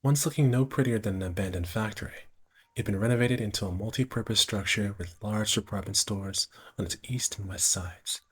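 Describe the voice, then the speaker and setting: A man live streaming, reading visual novel narration aloud in a halting way, often stumbling and repeating phrases. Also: lowish voice, husky.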